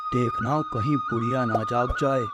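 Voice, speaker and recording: A man plays an envious, scheming milkman in a cartoon moral story. His delivery is dramatic and full of complaint, with hugely animated intonation at a medium pitch. Loud flute music runs underneath. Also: moderate pace. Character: scheming village milkman, anxious, greedy, envious.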